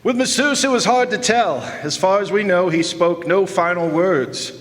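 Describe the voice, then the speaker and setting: An actor playing a celebrity's manager making statements to the press in a radio drama. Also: male voice, sits high, normal speed, natural voice. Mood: solemn. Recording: echoey hall, stage performance.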